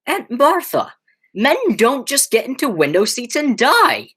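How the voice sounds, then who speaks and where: Young woman playing a frantic, stammering nephew, swooping pitch. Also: big pitch swings, a little slow, a bit bright, breathy edge, exaggerated voice. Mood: baffled, alarmed. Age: teenager. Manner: stammering, exclamatory. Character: agitated nephew, a young man, increasingly horrified. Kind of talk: high school radio play acting.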